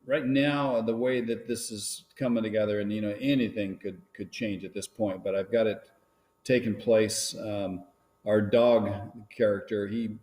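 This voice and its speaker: A man talking casually on a live stream about making his films, rambling with many pauses and restarts. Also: on the low side, steady tone, darkish tone. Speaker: filmmaker guest.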